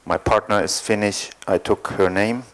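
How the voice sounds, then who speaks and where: Man, very low voice, gravelly, hesitant, panel talk. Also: fairly even intonation, moderate pace, fairly bright tone. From East Germany. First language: German. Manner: hesitant, thoughtful, many fillers. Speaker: panel guest, artist. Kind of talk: panel discussion, personal account.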